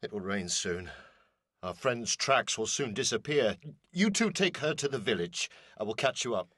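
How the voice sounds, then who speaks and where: A man's voice: mid-range voice, animated, normal speed, fairly bright tone, no room sound, clean studio sound. A man playing a stern, resolute ranger leader, terse and commanding, in an amateur fantasy audio play.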